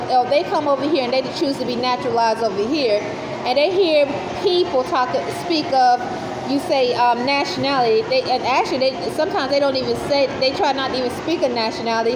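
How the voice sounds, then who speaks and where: Woman w a high voice talking casually, lots of ums and restarts, echoey room with noise behind. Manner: repetitive, searching, many fillers and restarts. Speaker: speaker explaining beliefs. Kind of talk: casual spoken monologue. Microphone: phone.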